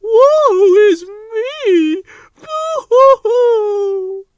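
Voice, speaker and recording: A man's voice: very high-pitched, lively intonation, very slow, dry recording, clean studio sound, pitched above the performer's own voice, heavily acted. A man voicing a self-pitying, melodramatic female rose who laments theatrically in a children's story. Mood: woeful sobbing.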